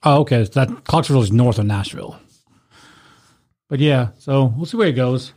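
A man's voice: mid-range voice, normal speed, a little mellow. A man from South Africa in casual chat, rambling and reading messages aloud.

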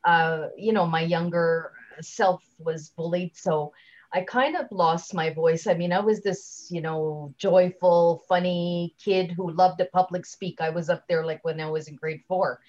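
A woman giving a conversational interview as a guest speaker, chatty and halting, often leaving sentences unfinished, on slightly dull audio. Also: lowish voice, fairly even intonation, darkish tone. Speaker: guest, LinkedIn trainer and speaker.

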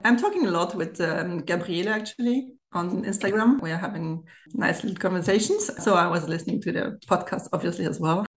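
A woman's voice: fairly low pitch, a little fast, few pauses, slight background noise. A woman in her thirties from Germany with a German accent, chatting casually as a podcast guest. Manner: chatty, giggles often as she talks. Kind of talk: casual chat. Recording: roomy, echoey remote recording.

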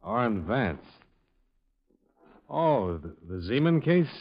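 An actor playing a cool, matter-of-fact insurance investigator in a 1950s radio detective drama. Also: male voice, mid-range voice, very animated, takes it slowly, full character voice. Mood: guarded, cool. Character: cool, hard-nosed male freelance insurance investigator. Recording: dull vintage radio recording, music behind.

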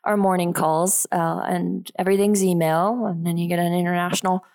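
Podcast guest: woman, thirties, from Switzerland, slightly low voice, conversational delivery. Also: fairly even intonation, fairly quick, creaky, a little mellow. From Geneva, Switzerland. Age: 30s. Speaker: podcast guest, real estate investor. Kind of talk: casual interview conversation.

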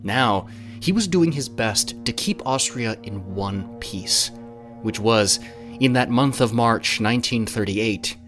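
A man narrating a scripted history story in a measured, deliberate, dramatic storytelling style. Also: mid-range voice, animated, a bit bright, soft background music.

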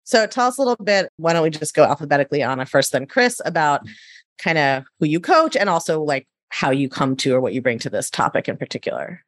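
Casual podcast host, woman, low voice, eager and talkative. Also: animated, no room sound. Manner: chatty, enthusiastic, quick asides.

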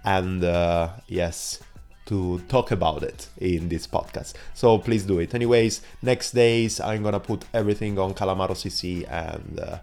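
A man from southern Italy with an Italian accent, hoarse from a cold, hosting his podcast in a chatty, rambling way full of fillers and restarts. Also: low voice, normal speed, a little mellow, faint music behind. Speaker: podcast host. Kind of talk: casual solo podcast intro.

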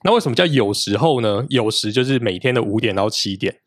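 Male guest, low-pitched and steady, recorded dry. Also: fairly quick, dry recording. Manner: steady, explanatory. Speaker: podcast guest, podcaster. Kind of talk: casual interview talk.

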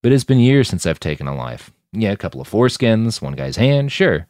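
A man's voice: lowish voice, big pitch swings, a little fast, a little mellow, no room sound, clean studio sound, pitched above the performer's own voice. A man voicing a wary, wry ex-killer and old soldier in audiobook dialogue, skeptical and matter-of-fact. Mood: dryly matter-of-fact.

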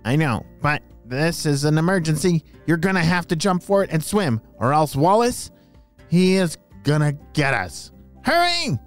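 A storyteller voicing a friendly, excitable male otter guide in a children's story. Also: mid-range voice, moderate pace, faint music behind, voice pushed down, close to an everyday voice. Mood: urgent, helpful.

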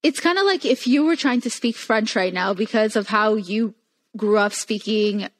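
A woman's voice: medium pitch, normal speed. A young woman whose first language is Hebrew, speaking as a podcast guest and telling her story in a candid, earnest way. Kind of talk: casual podcast conversation.

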